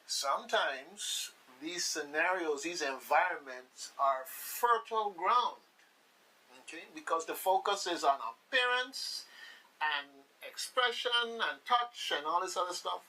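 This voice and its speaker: Bright, forceful Caribbean radio host, a man. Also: high-pitched, expressive, unhurried. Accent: Virgin Islands Caribbean. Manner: folksy, emphatic, repeats phrases. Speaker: radio talk show host. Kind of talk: casual on-air discussion addressed to listeners. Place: radio talk show. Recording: hissy, distant microphone.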